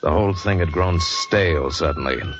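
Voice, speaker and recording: An actor playing a restless American sea captain in a 1940s radio adventure drama. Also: male voice, low-pitched, fairly quick, loud music behind the voice, lightly acted. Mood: weary brooding. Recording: noisy, muffled 1940s radio recording.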